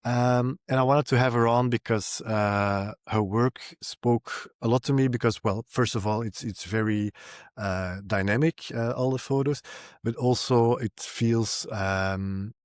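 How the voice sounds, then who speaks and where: A man's voice: medium pitch, measured pace, smooth, dry recording, studio quality. A man hosting a podcast in a casual, hesitant way, rambling a little and repeating words as he goes. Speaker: podcast host. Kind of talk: casual interview introduction and chat.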